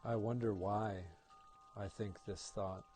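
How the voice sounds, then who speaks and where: Male meditation guide: soft mellow timbre, lowish register, soothing and unhurried in manner, faint music underneath. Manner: calm, gentle, soothing instruction. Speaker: meditation guide, yoga instructor.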